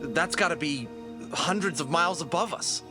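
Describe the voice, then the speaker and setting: A man's voice: a little high, normal speed, over music, lightly acted. A man acting in an audio drama as a plucky, sarcastic adventurer who is wary, casual and easily flustered. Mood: incredulous, excited. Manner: wisecracking, excitable, casual.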